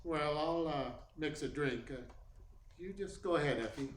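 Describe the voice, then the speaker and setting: A hard-boiled private eye acted by a man from a noir radio play, cool and sardonic, with blunt, casual wisecracks. His voice sits fairly high for a man. The recording is roomy and echoey, with faint noise underneath. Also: moderate pace, close to an everyday voice. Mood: dry, offhand. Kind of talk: radio play acting in 1940s noir manner.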